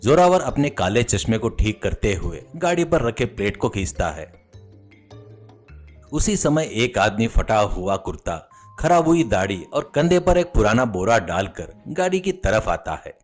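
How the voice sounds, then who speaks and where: A man narrating a scripted comic moral story as its storyteller. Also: fairly low pitch. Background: music.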